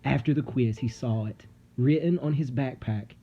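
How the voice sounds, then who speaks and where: A man reading a children's story aloud dramatically, with suspenseful, theatrical delivery and deliberate pauses. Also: medium pitch, warm tone, muffled recording. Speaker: storybook narrator. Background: music.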